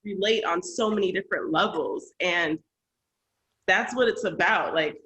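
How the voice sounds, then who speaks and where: A woman's voice: fairly low pitch, unhurried, fairly bright tone, low-quality recording. A woman hosting a podcast, speaking in an effusive, encouraging way with many restarts. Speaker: podcast host. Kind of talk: casual interview talk.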